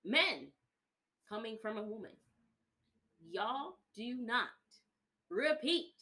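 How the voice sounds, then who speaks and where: A woman's voice: on the high side, big pitch swings, slow, bright, forceful tone. A woman co-hosting a casual podcast about relationships, speaking in a sarcastic, emphatic way. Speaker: podcast co-host.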